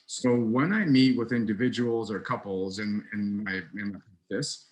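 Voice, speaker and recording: Brief: man in his thirties from Russia, therapist host, measured delivery, lowish voice. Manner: measured, many fillers and restarts. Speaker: psychologist and podcast host. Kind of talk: casual interview chat.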